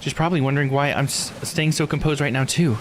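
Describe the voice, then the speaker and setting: A man voicing a calm, earnest, reassuring young woman, reading dialogue from a video game. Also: a little high, fast talker, slight background noise, pitched above the performer's own voice, close to an everyday voice. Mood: composed.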